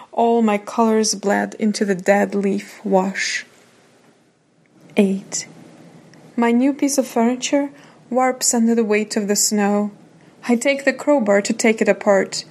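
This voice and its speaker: A woman reciting a poem deliberately, in short separated phrases. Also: mid-range voice, a little mellow. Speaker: poetry reader.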